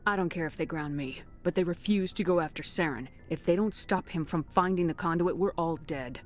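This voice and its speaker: A woman's voice: fairly low pitch, brisk, faint music behind, close to an everyday voice. A woman playing a firm, blunt military commander in a science fiction video game. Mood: frustrated, resolute. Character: resolute human female military commander in space opera. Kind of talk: cinematic game voice acting.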